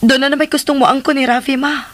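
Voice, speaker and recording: Earnest, sorrowful young adult daughter. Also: female voice, sits high, fairly even intonation, fast, a bit bright. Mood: downcast. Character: sorrowful, caring young adult daughter looking after her mother. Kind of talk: Philippine radio drama acting.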